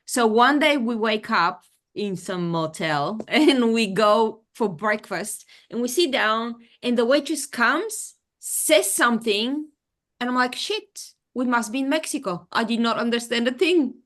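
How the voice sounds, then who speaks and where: A woman's voice: on the high side, expressive, a little slow, a bit bright. A woman with a mixed international accent, chatting casually on a group video call and laughing often while telling stories. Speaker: host of an online group call.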